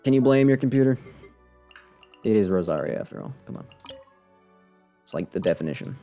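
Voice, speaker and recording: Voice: medium pitch, animated, brisk, mellow, soft background music. A game streamer giving casual live commentary in a playful, dryly sarcastic way.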